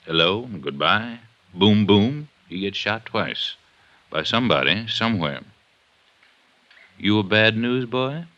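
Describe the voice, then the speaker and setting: An actor playing a gruff, suspicious, hard-nosed police inspector in a 1950s radio detective drama, blunt and sardonic. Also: male voice, on the low side, expressive, measured pace, pauses often, bright, forceful tone. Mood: suspicious, accusing. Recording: dull 1950s radio recording.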